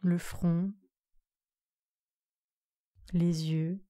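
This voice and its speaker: Slow, soft-toned woman guiding relaxation. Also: on the low side. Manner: calm, soothing, measured instructions with short phrases. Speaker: yoga nidra instructor. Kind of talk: guided relaxation meditation.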